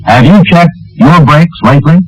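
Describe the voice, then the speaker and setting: A man's voice: on the high side, moderate pace, over music, rough recording. A man reading a scripted announcement in vintage radio style. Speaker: commercial announcer. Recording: old radio recording, background crowd sound.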